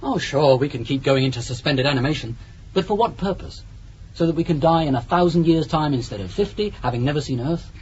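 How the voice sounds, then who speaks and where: An actor playing a keen, questioning young spaceship crewman in a radio drama, brisk, persuasive and insistent. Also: a little high, expressive, a little mellow, natural voice. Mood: frustrated, urgent. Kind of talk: 1980s radio drama acting. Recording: dull roomy old radio recording, light noise.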